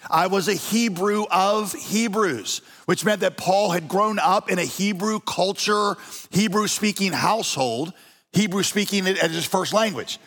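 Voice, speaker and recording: A man preaching with an animated, folksy delivery and touches of humor. His voice sits a little high for a man. It rings out through the long reverb of a large church auditorium. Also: a little slow. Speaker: pastor. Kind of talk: sermon.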